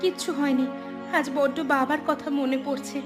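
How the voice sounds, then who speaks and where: Woman as a high-voiced, wistful young village bride. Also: flat delivery, normal speed, over music, natural voice. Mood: wistful, worried. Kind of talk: cartoon voice acting.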